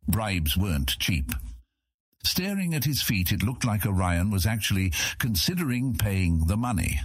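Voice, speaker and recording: A man's voice: deep voice, slightly rough, no echo. A man narrating a scripted fantasy audiobook, recorded close to the microphone.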